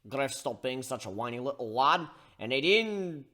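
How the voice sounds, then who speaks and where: A man's voice: medium pitch, very animated, moderate pace, sharp tone. A man voicing a big-hearted giant minotaur with a blunt, folksy, bossy way of talking. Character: gruff, big-hearted giant male minotaur airship crewman.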